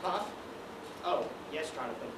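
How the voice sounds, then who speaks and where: A woman acting in an amateur stage play as a young male gentleman's sidekick, blunt and complaining but obedient. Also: sits low, lively intonation, a little slow. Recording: far-off echoey stage, loud noise.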